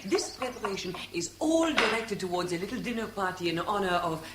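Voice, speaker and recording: Actress as a gushing hostess, lively and roomy. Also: female voice, medium pitch, fairly quick, natural voice. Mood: gracious. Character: sociable, gushing wife and dinner hostess. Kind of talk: 1970s British radio drama acting. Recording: noisy, roomy old radio recording.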